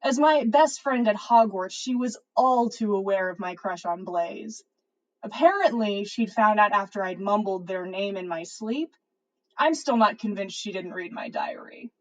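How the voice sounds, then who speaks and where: A woman's voice: medium pitch, a little slow, poor audio. A woman reading a story aloud as a podcast host on a livestream. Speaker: podcast host reading listener fan fiction.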